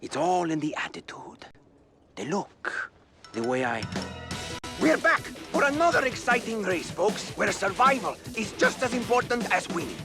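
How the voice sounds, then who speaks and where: A man's voice: sits high, very animated, moderate pace, gravelly, music in the background, full character voice. A man voicing a chatty, self-important talking parrot who works as a slick sports commentator in a video game. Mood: showy. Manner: showy, slick, theatrical. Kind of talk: video game cutscene acting.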